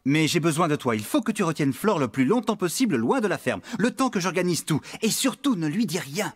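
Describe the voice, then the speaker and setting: A man voicing an excitable, good-natured, bossy farmhand in a French children's cartoon. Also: fairly high pitch, fairly quick, husky. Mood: conspiratorial. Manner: exclamatory, bossy, enthusiastic.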